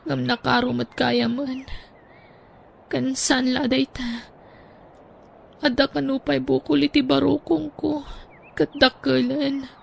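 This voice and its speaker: A woman voicing an ailing young wife and mother in an audio drama, talking in a soft, worried, hushed manner. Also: sits high, level tone, slow, gravelly, long pauses, slightly rough, exaggerated voice. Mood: confiding.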